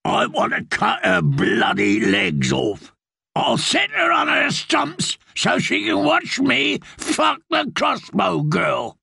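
A man's voice: very high-pitched, huge pitch swings, takes it slowly, gravelly, bright, forceful tone, husky, no room sound, shouting, clean studio sound, pitched above the performer's own voice, exaggerated voice. A man voicing a brutal, cursing male outlaw in a dog helm, bellowing threats.

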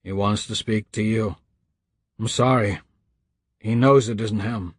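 A man's voice: lowish voice, fairly even intonation, a little slow, slightly rough, no echo. A man voicing a large, nervous security man in an audiobook, hesitant and unsure of himself. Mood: nervous, helpless. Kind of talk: audiobook character dialogue.

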